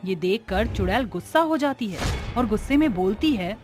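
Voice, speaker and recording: A woman narrates a horror story from a script. Her voice sits at a middle pitch, and music plays behind the storytelling. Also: lively intonation, normal speed, darkish tone. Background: background music.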